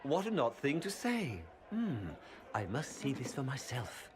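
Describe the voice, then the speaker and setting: A man's voice: mid-range voice, very animated, measured pace, background noise. An actor voicing a haughty, fussy male noble courtier in a video game with an arch, theatrical manner.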